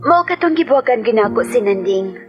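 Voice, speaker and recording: A woman's voice: a little high, animated, fairly quick, highs cut off. A woman giving scripted dramatic first-person narration as a letter sender in a radio drama. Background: music.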